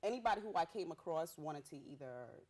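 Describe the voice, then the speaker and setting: A young woman rapper from Haiti, a Haitian Creole speaker, telling her story in a bubbly, animated way with street slang. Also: deep voice, brisk. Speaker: rapper guest. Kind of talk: casual interview.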